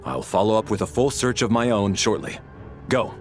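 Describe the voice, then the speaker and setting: An actor playing an officer who examines the dead and gives orders in a clipped, methodical, authoritative way in a game. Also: male voice, fairly low pitch, lively intonation, moderate pace, soft background music, natural voice. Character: brisk officer investigating two deaths. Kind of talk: game voice acting.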